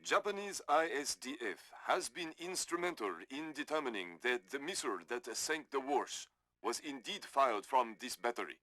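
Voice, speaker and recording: An actor playing a stern, formal Japanese military official giving a declamatory briefing in a video game. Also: male voice, medium pitch, expressive, moderate pace, sharp tone. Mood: stern, resolute.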